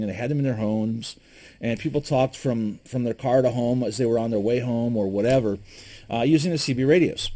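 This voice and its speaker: A man from Michigan talks through survival gear in an easygoing, unscripted way. He stumbles and restarts often and fills pauses with ums. His opinions come out plainly. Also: mid-range voice, dark tone. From Michigan, USA. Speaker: preparedness gear reviewer. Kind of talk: casual gear review to camera.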